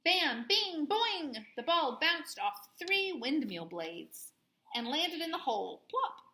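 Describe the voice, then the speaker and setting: A woman host reading a children's story aloud in an expressive, playful way, with plenty of sound words. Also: sits high, slow, roomy sound, a little hiss or hum.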